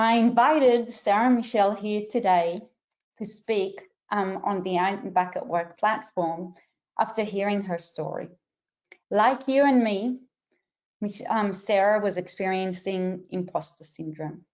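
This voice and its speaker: A middle-aged woman with a mixed Israeli, Australian and American accent hosts a webinar, explaining each step clearly and pausing now and then. Her voice sits at a medium pitch. It comes through a video call, slightly muffled and lacking crisp highs. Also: a little mellow. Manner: clear and instructive, with some filler pauses. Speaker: webinar host and career coach. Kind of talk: webinar introduction.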